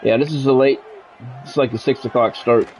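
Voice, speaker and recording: A man's voice: mid-range voice, steady tone, fairly quick, a little mellow, some chatter behind, low-quality recording. A man livestreaming as a sports commentator, switching between clipped announcer-style play calls and casual chat with viewers over music. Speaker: game streamer calling play-by-play.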